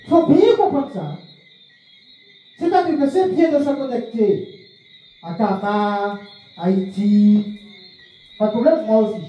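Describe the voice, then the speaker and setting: A man's voice: high voice, takes it slowly, long pauses, mellow, highs cut off. A man giving a public talk on spiritual practice, questioning the audience and repeating key phrases. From France. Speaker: speaker of a spiritual movement. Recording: very echoey room, far from the mic, faint noise.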